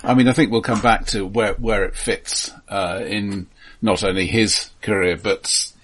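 Casting: male co-host for casual film talk, low register, roughened tone, deadpan irony, stop-start phrasing. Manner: dry, ironic humour; halting, repeats words. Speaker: podcast co-host.

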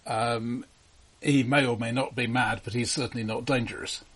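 A man co-hosting a casual film discussion, offering dry, opinionated remarks with halting restarts. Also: mid-range voice, steady tone, a bit bright, husky. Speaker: podcast co-host.

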